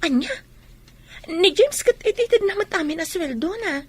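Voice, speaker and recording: A woman's voice: very high voice, animated, moderate pace, darkish tone. A woman playing a nosy, concerned friend in an Ilocano audio drama. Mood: indignant. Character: nosy, concerned female friend of a troubled wife.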